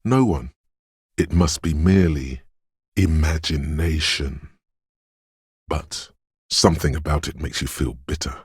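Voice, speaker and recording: A man's voice: unhurried, long pauses, no echo, studio quality, full character voice. A man voicing a dreamy, mystical inner voice of intuition in a video game, narrating in a brooding, theatrical and intimate way. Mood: melancholy, uneasy. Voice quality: very deep, gravelly, husky. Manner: brooding, theatrical, addressing the listener as you.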